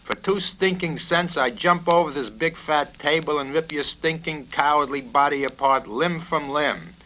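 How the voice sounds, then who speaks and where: A man reading a satirical novel, voicing a bullying, foul-mouthed military colonel who blusters and shouts his questions. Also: a little high, moderate pace, voice pushed up. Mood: furious. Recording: noisy 1970s vinyl record with clicks.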